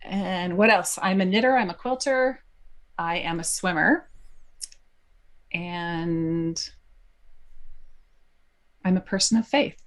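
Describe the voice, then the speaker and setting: A middle-aged woman from New Jersey, a podcast guest speaking thoughtfully with many pauses and fillers. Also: fairly low pitch. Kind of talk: conversational interview.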